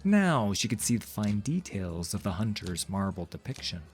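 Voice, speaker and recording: A man's voice: lowish voice, sing-song, moderate pace, faint music behind. A man narrating a fantasy story in a dramatic, scripted audiobook style.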